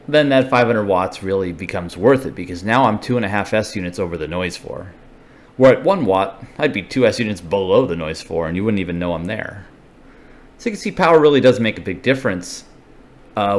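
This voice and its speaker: Low-voiced man explaining informally. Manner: thinks aloud, informal, step-by-step. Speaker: presenter of a radio hobby explainer video. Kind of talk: casual unscripted technical explanation.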